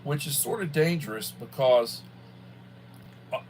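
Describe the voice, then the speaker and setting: A man in his sixties talks off the cuff in a casual livestream style at a middling pitch. His delivery is folksy and halting, often circling back to repeat a phrase. A light background hum runs under the voice. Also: darkish tone. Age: early 60s. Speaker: livestream host, school worker. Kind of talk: casual rambling livestream chat.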